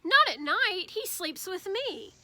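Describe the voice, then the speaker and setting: A woman's voice: very high voice, very animated, slow pace, pushed and bright, pitched above the performer's own voice, heavily acted. A woman voicing an exasperated sixth-grade boy, emphatic and protesting, in a storybook. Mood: indignant. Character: exasperated sixth-grade boy, big brother narrating his family.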